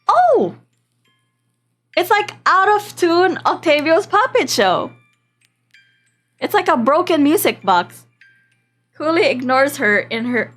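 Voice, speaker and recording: Female game streamer, lightly high voice, dramatic playful narration with chatty asides, faint music underneath. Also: fairly bright tone. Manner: playful, dramatic read-aloud. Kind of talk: reading a visual novel aloud with casual asides. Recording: music behind the voice. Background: game background music.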